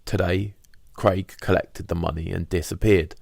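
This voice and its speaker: A man reads a diary as audiobook narration. His voice is very deep with a lot of fry in it. The sound is dry, with no room around it. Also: steady tone, normal speed, darkish tone, dry recording. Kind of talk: scripted first-person diary narration.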